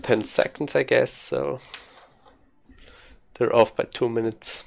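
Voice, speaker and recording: A man's voice: medium pitch, steady tone, slow pace, gravelly. A man giving casual live commentary as he streams a speedrun, informally thinking aloud while he plays.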